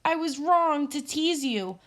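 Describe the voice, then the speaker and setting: A woman's voice: high voice, big pitch swings, takes it slowly, voice pushed up, full character voice. A woman voicing a small, cheeky male squirrel who chirps his lines in a sing-song way. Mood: pleading.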